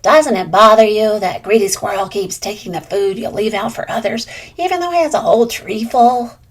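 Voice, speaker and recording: A man's voice: sits high, normal speed, breathy edge. A woman voicing a bold male blue jay who speaks firmly and coolly. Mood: questioning. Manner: firm, cool, squawking.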